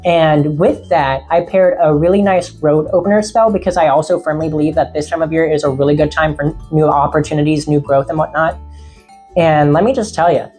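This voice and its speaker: A woman casually vlogging about seasonal witchcraft in a friendly, rambling way, her voice getting a little raspy. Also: low voice, fairly even intonation, brisk, warm tone, soft background music. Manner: rambling, friendly, laughs often. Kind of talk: casual chatty vlog.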